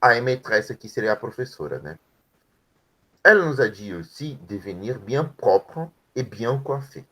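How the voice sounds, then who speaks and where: A man's voice: mid-range voice, very animated, a little slow, pushed and bright. A man teaching French to adult students, explaining words patiently and checking in with frequent tag questions. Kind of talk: explaining grammar in an online class.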